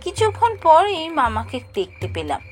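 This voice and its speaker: A woman voicing a curious, stubborn child who narrates a spooky cartoon adventure in a suspenseful, expressive way. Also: high-pitched, normal speed, soft background music. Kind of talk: dramatic scripted ghost-story narration for animation.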